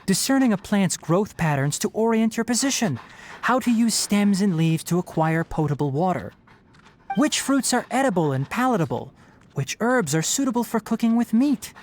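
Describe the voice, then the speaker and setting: A woman voicing a witty, scholarly young fox-eared forest ranger in a game, speaking articulately with a lecturing, gently teasing manner. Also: mid-range voice, very animated, moderate pace, slight background noise, lightly acted. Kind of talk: scripted game character voice lines.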